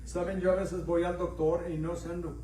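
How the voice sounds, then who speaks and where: A man's voice: high-pitched, little pitch movement, normal speed, mellow, distant mic, slight background noise. A middle-aged Mexican man with a Mexican accent preaching a conversational sermon, telling stories and asking the congregation questions. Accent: Mexican, slightly mixed. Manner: storytelling, asks the congregation questions, acts out dialogue in raised voices. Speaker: pastor. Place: church sanctuary. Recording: echoey room, voice heard from afar.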